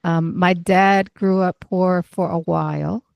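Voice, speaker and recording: A woman from the United States, a podcast guest, answering in a thoughtful, measured way with small fillers. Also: fairly low pitch, steady tone, creaky, darkish tone, dry recording. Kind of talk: conversational interview.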